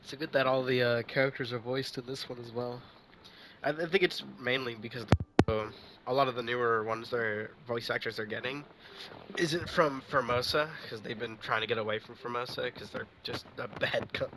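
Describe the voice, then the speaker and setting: A man's voice: mid-range voice, background noise. A man giving casual, rambling live commentary as he plays a video game. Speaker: game streamer.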